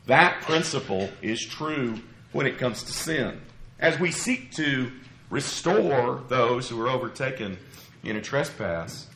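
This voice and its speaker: Man, mid-range voice, slightly scratchy from a cough, measured earnest sermon delivery, explanatory, echoey indoor space. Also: medium pitch, bright, forceful tone. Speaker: preacher. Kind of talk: expository sermon. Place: church pulpit, roomy indoor space. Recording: echoey room.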